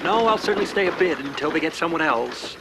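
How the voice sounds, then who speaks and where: Prim schoolmaster with animated high voice. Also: male voice, fast talker, heavy background noise. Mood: lofty, uneasy. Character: prim, self-important but jittery schoolmaster. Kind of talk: television period comedy acting.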